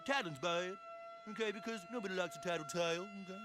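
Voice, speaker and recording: A voice actor doing a high, nagging cartoon adult who lectures a child and keeps tacking on "okay". The clip sits faint beneath loud music. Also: male voice, quick pace, lightly acted. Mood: lecturing. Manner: preachy, repeats "okay".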